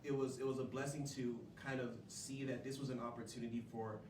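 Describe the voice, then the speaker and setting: A man gives an earnest interview as a cast member. His phrasing is halting and searching. He sounds distant in an echoey room with noticeable background noise. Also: mid-range voice, flat delivery. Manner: halting, hesitant phrasing with pauses. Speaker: stage play cast member. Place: indoor venue before a studio audience.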